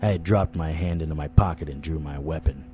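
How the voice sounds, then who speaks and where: Low-voiced male gumshoe, wisecracking monster hunter, noir radio-play delivery, music underneath. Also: brisk, mellow. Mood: tense. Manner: sardonic, dramatic. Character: hard-boiled, wisecracking male vampire-hunting private eye. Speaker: narrator and lead actor. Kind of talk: first-person noir audio drama narration. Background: dramatic music.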